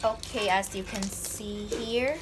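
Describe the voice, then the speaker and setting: A woman's voice: medium pitch, fairly even intonation, slow pace, background noise. A woman unboxing candy on camera in a casual vlog, joking and pretending to be scared. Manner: playful, mock-scared squeals and jokes. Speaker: vlogger. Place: indoors at a table. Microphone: phone camera held over a table.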